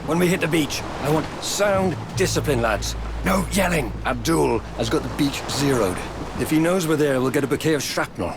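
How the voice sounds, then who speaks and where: A man voicing a bookish, lofty army officer in a video game, speaking in a formal, measured, poetic manner. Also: a little high, creaky. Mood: commanding. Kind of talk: dramatic video game acting. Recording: loud sea and engine noise.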